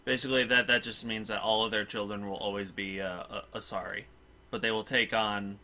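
A man giving casual game commentary among friends, halting and often repeating himself. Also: on the low side, steady tone, pushed and bright. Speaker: gaming commentator.

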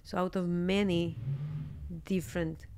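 A woman in her thirties from Serbia with a Serbian accent, chatting candidly as a guest on a podcast in a small studio, speaking close into a boom microphone. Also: a little slow, a little hiss or hum. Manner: candid, animated, laughs often. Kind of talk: casual interview chat. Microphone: studio mic on boom arm, headphones.

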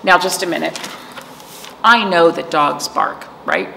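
Animated middle-aged woman reading, slow and expressive. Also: lowish voice, vocal fry. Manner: animated, acting out the story with asides to listeners. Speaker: children's librarian and storytime host. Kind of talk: expressive read-aloud storytelling for young children. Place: indoor library storytime room. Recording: roomy reverb with faint room noise.

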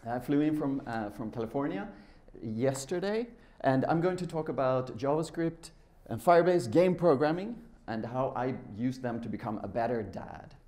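Man, middle-aged, mellow relaxed tone, mid pitch, conversational conference speaker. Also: moderate pace. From Sweden. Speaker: conference speaker, software developer. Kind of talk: informal tech conference talk. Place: large lecture room with a seated audience.

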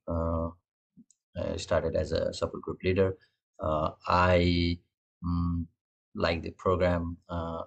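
A man in his thirties from Bhutan introducing himself on a video call, halting, with many uh's and restarts. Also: low voice. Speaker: panelist, support group leader. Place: indoor room. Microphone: laptop camera on a video call.

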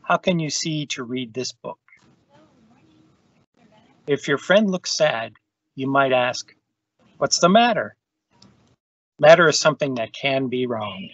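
A man's voice: mid-range voice, expressive, slow, pauses often, a bit bright, dry recording. A man reading a children's science book aloud over a video call. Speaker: storytime reader. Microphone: video call microphone. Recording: dull, lo-fi video call audio.

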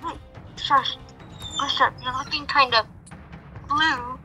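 A high, very sharp female voice in a game roleplay. She is insistent and repeats her words, with faint music behind her. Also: fairly even intonation, slow pace, muffled recording. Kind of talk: improvised Minecraft roleplay. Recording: background music.

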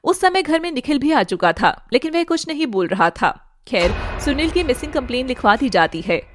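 High-voiced woman narrating a story. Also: sing-song, normal speed, a little hiss or hum.

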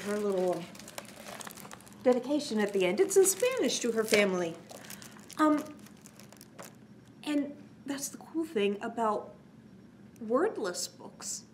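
Woman doing kids story time, voice goes way up and down, asks lots of leading questions, bit of noise behind her. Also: a little high. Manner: exaggerated questioning, prompting delivery. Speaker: children's story time host. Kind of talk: children's story time, talking to young listeners. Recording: clear background noise.

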